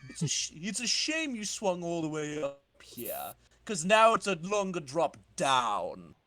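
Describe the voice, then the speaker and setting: A man's voice: a little high, wildly swooping pitch, measured pace, sharp tone, slight background noise, exaggerated voice. A man voicing a cocky, scheming supervillain racer in a boastful, over-dramatic comic-book reading.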